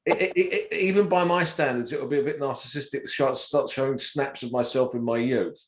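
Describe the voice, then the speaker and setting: A man's voice: medium pitch, brisk. An older man giving an informal academic talk in a hesitant way, often stammering and repeating words. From not from Wales. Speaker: professor giving a lecture. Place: indoor room on a video call. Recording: roomy, echoing webinar audio.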